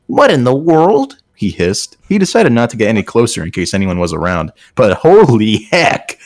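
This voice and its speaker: A man's voice: medium pitch, huge pitch swings, moderate pace, dry recording, studio quality. A man reading fan fiction aloud in a mock dramatic style, with amused, incredulous casual banter.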